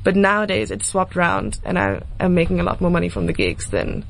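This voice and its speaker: A woman's voice: fairly low pitch, very animated, fairly quick, gravelly, a little hiss or hum, rough recording. A South African woman in her twenties talking casually and informally as an interview guest. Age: 20s. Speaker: singer-songwriter guest.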